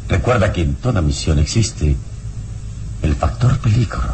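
A man playing a serene, wise hero in a radio serial, speaking in a measured, solemn, calming way. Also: sits low, slight background noise. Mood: calm, reassuring. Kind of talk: old radio serial acting.

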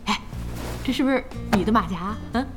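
A woman playing a spirited young gamer in an audio drama, chatty, expressive and inquisitive. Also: on the high side, fairly quick, music in the background, lightly acted. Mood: curious. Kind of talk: audio drama acting in dialogue.

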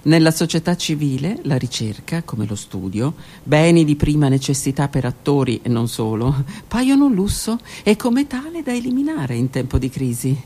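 A middle-aged woman, a stage actress, performing a dramatic monologue that swings from hushed whispers to raised declaiming. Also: sits low, sing-song, normal speed, few pauses, slight background noise. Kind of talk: theatrical monologue. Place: radio studio.

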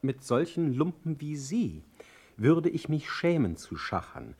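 An actor playing a witty, ailing exiled poet in a German radio play, with a mocking, eloquent and sharp-tongued manner. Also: male voice, fairly high pitch, very animated, unhurried, husky, heavily acted. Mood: mocking, agitated. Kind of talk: German radio play acting and letter narration.

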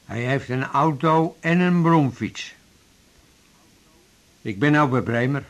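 A man's voice: medium pitch, slow. An actor playing a chatty, wry male patient with complaints in a radio drama. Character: chatty, ailing male patient in a waiting room.

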